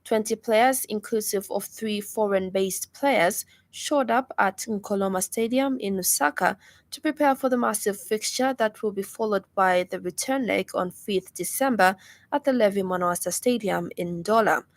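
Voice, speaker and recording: A woman's voice: mid-range voice, moderate pace. A woman with a Zambian accent reading scripted news and weather as a radio presenter. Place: radio studio.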